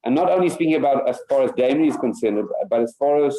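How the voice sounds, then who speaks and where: A man teaching a Torah class over a video call as a rabbi, speaking haltingly with many fillers and repeated words. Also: mid-range voice, lively intonation, warm tone, rough recording.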